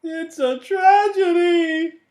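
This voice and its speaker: A man voicing a flustered, doting storybook spouse with melodramatic, drawn-out pleading. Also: very high voice, a little mellow, pitched above the performer's own voice, exaggerated voice. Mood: distraught, pleading.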